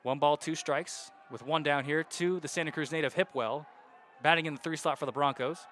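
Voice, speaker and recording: A young male radio announcer calls a baseball game in a bright, fairly high voice, ticking off counts and runners in a steady flow. Faint outdoor ballpark noise sits behind him. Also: brisk. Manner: steady count-and-situation narration, rising loud on hits. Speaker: play-by-play announcer.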